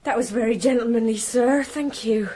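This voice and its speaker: Casting: woman playing coy young housemaid, mellow and husky, teasing, period drama. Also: a little high, normal speed, close to an everyday voice. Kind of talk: period radio drama acting.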